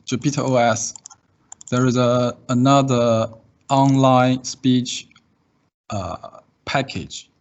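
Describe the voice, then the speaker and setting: A man demonstrating software in an online class, speaking hesitantly and haltingly with long pauses over a video call. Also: mid-range voice, steady tone. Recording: dull, slightly noisy call audio with typing. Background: keyboard typing and clicks.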